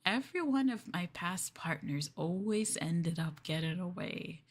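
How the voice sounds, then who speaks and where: A woman's voice: lowish voice, expressive, a little slow, breathy edge, pitched below the performer's own voice. A woman playing an obsessive, possessive girlfriend in an ASMR roleplay, sweetly menacing and coaxing the listener in a cooing manner. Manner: cooing, coaxing, breaking into giggles and whispers.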